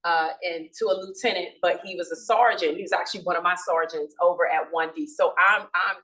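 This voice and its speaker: Woman, police commander, lowish voice, loose and chatty, no mic, picked up from a distance. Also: steady tone, fairly quick, fairly bright tone. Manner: informal, chatty, with many restarts and fillers. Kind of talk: community meeting talk. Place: community meeting room. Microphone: no microphone, from across the room.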